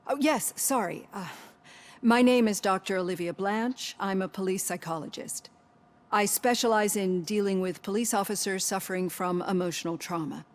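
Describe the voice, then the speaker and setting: An actress playing a polite, persistent police psychologist in a video game, measured and professional. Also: female voice, mid-range voice, close to an everyday voice. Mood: polite, earnest.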